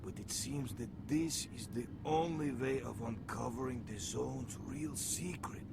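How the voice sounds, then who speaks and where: An actor playing an urgent, loyal comrade in a video game, talking in an earnest, insistent, gravelly voice. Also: male voice, measured pace, heavy background noise. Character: urgent, loyal male comrade briefing his friend. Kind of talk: video game cutscene acting. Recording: loud rumbling ambience behind the voice.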